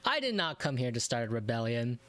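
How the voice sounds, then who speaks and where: A woman's voice: a bass voice, very animated, fairly quick, a bit bright. A woman giving casual gameplay commentary, reacting informally with sarcastic asides. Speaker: gamer reacting to game. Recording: game music faintly behind. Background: faint game music.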